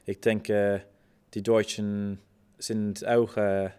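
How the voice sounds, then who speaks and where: A young man from Ireland, an exchange student who speaks German haltingly, with many fillers, and searches for words. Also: fairly low pitch, flat delivery, mellow, clean tone. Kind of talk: casual interview in German as a learner.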